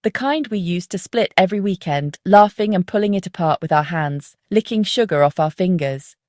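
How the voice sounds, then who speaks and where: A woman narrates a dark psychological story in the first person. Her voice sits on the low side, and the sound is very dry, with no room around it. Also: animated, normal speed, dry recording.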